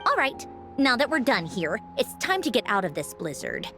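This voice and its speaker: A woman voicing a lively, confident, teasing young female official in a video game, with a breezy, expressive delivery. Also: on the high side, normal speed, exaggerated voice. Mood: brisk, matter-of-fact. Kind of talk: scripted video game voice acting. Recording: game music behind the voice.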